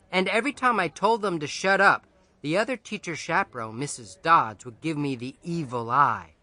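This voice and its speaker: A man reads a first-person children's story in a boy's persona, with a bright tone and very lively pitch. His delivery is conversational and wry, recorded dry and close. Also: no echo. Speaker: audiobook narrator. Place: dry studio.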